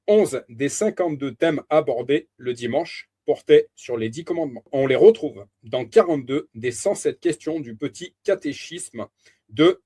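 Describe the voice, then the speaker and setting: Male preacher, fairly high husky voice, steady teaching read-aloud. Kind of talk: reading a Bible teaching aloud.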